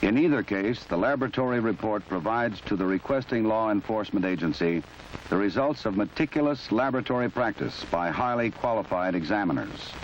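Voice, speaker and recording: Low-voiced male narrator, old film soundtrack. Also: animated. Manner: measured, precise, instructional. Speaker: narrator of a forensic training film. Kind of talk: formal scripted documentary narration. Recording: muffled, noisy old 1970s film soundtrack.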